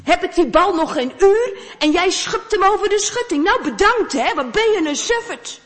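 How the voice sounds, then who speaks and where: A woman's voice: extremely high pitch, animated, moderate pace, big-room sound, voice pushed up. A woman voicing an angry young boy who scolds his friend for losing his ball. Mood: furious. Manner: indignant, scolding.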